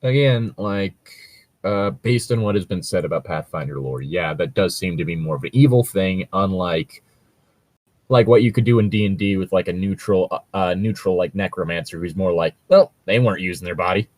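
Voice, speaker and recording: A man co-hosting a casual commentary chat about gaming stories, talking in a rambling, halting way. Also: sits low, darkish tone, dry recording. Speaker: co-host reading and commenting on tabletop gaming stories.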